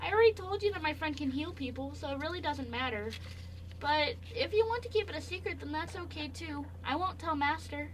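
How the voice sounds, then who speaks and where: A woman playing an eager, naive young male dog servant in an amateur radio play, with wide-eyed, excitable questions. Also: high voice, fairly quick, rough recording. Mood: earnest.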